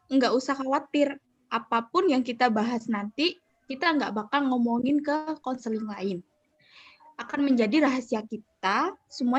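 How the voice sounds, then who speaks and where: A woman playing a calm 35-year-old counselor who leads a group session on a video call and reassures the members. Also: on the high side, breathy edge, dry recording. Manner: gentle, reassuring, with polite fillers. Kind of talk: student counseling roleplay. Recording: dull, dry video-call audio.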